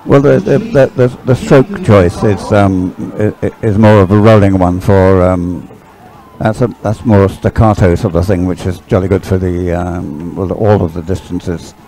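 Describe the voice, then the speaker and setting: A man's voice: low voice, fairly even intonation, normal speed, dark tone, poor audio. A man doing live sports commentary in a chatty, hesitant, rambling way.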